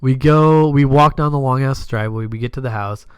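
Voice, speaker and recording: Guy doing podcast storytime, mid pitch, super casual n sweary, animated, really dry close sound. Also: a little fast, clean tone, no echo. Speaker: podcast host. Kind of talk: casual personal storytelling, acting out dialogue.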